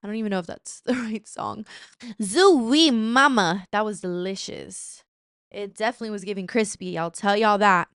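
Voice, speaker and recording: A woman's voice: mid-range voice, moderate pace, a bit bright, clear, resonant tone, no room sound, clean studio sound. A woman in her twenties hosting her podcast, chatting casually and playfully with slang asides. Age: 20s. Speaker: podcast host. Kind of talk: casual chat.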